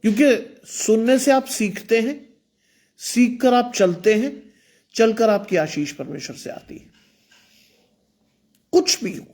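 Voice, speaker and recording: A man's voice: very high voice, slow, long pauses, darkish tone. A man preaching an online sermon in with some English, earnest and exhorting, speaking with a sore throat. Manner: earnest, exhorting, repeats phrases. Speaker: pastor.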